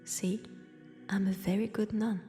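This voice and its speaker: A woman with a French accent voicing an ancient, teasing vampire who poses as a nun, in a hushed, intimate roleplay drama. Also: mid-range voice, steady tone, soft background music, lightly acted. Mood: wry, nostalgic. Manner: slow, teasing, hushed, dropping into whispers. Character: ancient, powerful female vampire posing as nun, teasing, sardonic. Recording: long church-like reverb.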